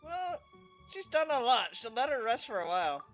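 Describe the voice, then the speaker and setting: Woman streamer with a lively, laughing voice. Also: a little high, moderate pace, over music. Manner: laughs often, reacts chattily to the scenes. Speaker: streamer playing a game. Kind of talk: casual gameplay commentary.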